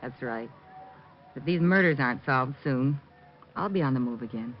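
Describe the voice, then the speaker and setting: A woman's voice: very deep voice, expressive, normal speed. A woman playing a friendly, earnest young carnival fortune teller in old-time radio style. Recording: dull old radio recording.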